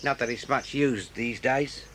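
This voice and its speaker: A man's voice: mid-range voice, unhurried, a bit bright, a little hiss or hum, close to an everyday voice. An actor playing a curt, grudging railway signalman in a radio drama, explaining the points and warning children away. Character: gruff male railway signalman.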